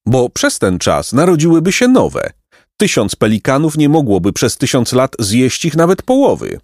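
Casting: man as clever storybook lad, polite but teasing, very lively melodic delivery. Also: mid-range voice, normal speed, no room sound, clean studio sound, voice pushed up. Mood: persuasive. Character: clever young boy, courteous and slyly solemn. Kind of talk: storybook character voice.

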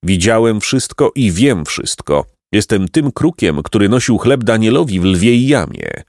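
Man as ancient wise raven, stern, preachy, scornful, solemn storybook delivery, animated intonation. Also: fairly low pitch, a little slow, no room sound, clean studio sound. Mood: proud, solemn. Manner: solemn, condemning, oracular. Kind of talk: storybook character reading.

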